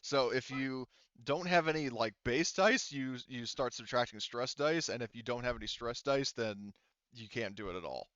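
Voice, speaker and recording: A man's voice: mid-range voice, moderate pace, a bit bright, smooth, no echo, muffled recording. A man acting as game master, casually talking through rules and thinking aloud in a hesitant way. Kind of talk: casual tabletop game refereeing, explaining rules.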